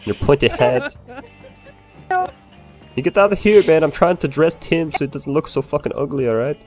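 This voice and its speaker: A man improvising in-character game roleplay, swearing and ranting in an excitable way. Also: on the high side, normal speed, faint music behind. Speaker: roleplayer playing a bar owner.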